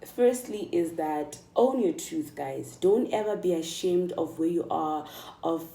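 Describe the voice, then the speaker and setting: South African woman, soft dark voice, echoey room. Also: lowish voice, animated, unhurried, vocal fry. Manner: emphatic, repeats phrases for stress, addresses listeners directly. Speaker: vlogger. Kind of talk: casual motivational chat to viewers. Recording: echoey room, distant microphone.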